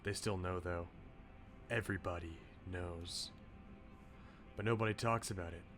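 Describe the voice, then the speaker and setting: A man reading a brooding, self-sacrificing young man's inner thoughts from a visual novel, low and subdued. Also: steady tone, measured pace, over music. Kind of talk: visual novel read-aloud.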